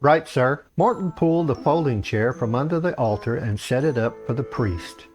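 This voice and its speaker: A man reads a scripted comic fantasy tale as narrator. His medium-pitched voice rises and falls freely. Faint music plays underneath. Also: lively intonation, normal speed, a little mellow. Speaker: author reading his short story.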